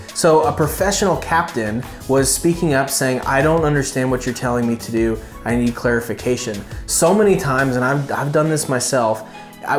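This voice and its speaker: A man in his thirties with a medium-pitched voice talks straight to camera as an instructor. He is conversational and emphatic, explaining things informally. The lapel-miked voice carries noticeable room echo, with faint music behind it. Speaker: flight instructor and video host. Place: small furnished living room indoors. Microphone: lapel mic.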